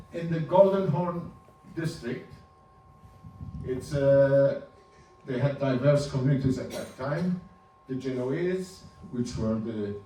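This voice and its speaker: A man in his seventies, a native Ladino speaker from Turkey, giving an informal talk over slides, halting and restarting with small chuckles. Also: mid-range voice, mellow. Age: 70s. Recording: roomy, distant, faint hum.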